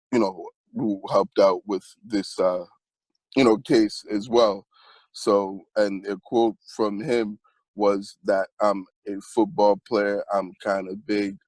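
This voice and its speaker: A man's voice: on the low side, no room sound, rough recording. A young man co-hosting a sports podcast, talking casually with many fillers and restarts. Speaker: podcast co-host.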